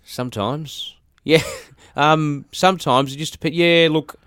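An Australian man in his forties, a musician chatting as an interview guest, halting with fillers and restarts. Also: a little high, fairly even intonation, fairly bright tone, clean tone, dry recording, studio quality. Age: 40s. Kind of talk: casual interview talk.

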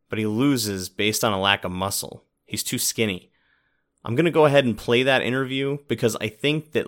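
A man with a fairly low voice explains a true crime case in an easy, conversational commentary. He lays out his reasoning plainly, like he is talking to a listener one on one. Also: fairly bright tone. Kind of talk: casual commentary.

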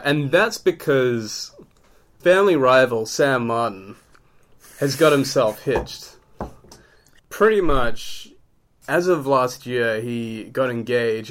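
A man's voice: medium pitch, slow pace, fairly bright tone. A man co-hosting a comedy podcast, needling and teasing in casual comic banter. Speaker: podcast co-host.

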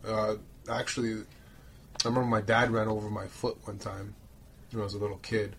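A man's voice: on the low side, level tone, normal speed, a bit bright, a little hiss or hum. A man from Romania who moved to Canada as a child, co-hosting a podcast with rambling, colloquial personal stories. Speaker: podcast host. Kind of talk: casual chat, personal storytelling.

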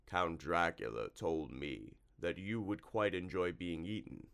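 Huge, slow, ponderous slimy monster. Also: male voice, sits low, vocal fry, fairly bright tone, smooth, heavily acted. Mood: hungry, musing. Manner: slow, drawn-out, ponderous delivery. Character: huge slimy tentacled monster, slow-witted, easily spooked.

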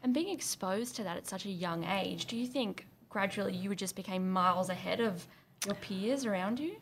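A woman co-hosting a podcast, friendly and quick to chime in with "yeah". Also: medium pitch, a little hiss or hum. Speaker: podcast co-host.

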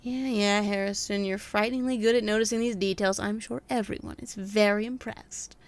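A woman's voice: mid-range voice, fairly even intonation, brisk, natural voice. A woman playing a wisecracking male detective's assistant in a noir audio drama, speaking wryly and teasingly. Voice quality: wry and teasing.

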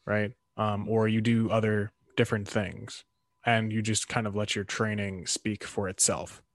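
Low-voiced man thinking aloud, dry sound. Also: little pitch movement, a little slow, no room sound. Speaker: karate practitioner in discussion. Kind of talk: casual conversation.

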